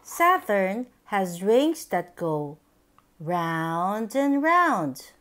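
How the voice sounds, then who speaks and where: A woman reading a picture book aloud for young children, with simple, deliberate, expressive phrasing. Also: medium pitch. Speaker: children's book reader, channel host.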